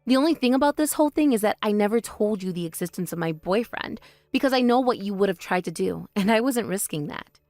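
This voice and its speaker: A woman voicing a calm, composed older sister who speaks in a measured, quietly cutting way in a voice drama. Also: mid-range voice, no room sound, lightly acted. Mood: cool. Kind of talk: voice drama, one performer.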